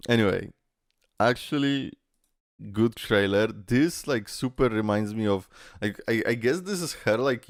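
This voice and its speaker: A man's voice: fairly low pitch, no room sound, clean studio sound. A man giving casual live reaction commentary, blunt, exclamatory and full of swearing, as the host of a reaction video. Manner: animated, exclamatory, blunt and profane. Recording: game trailer music behind the voice. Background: music from the video being watched.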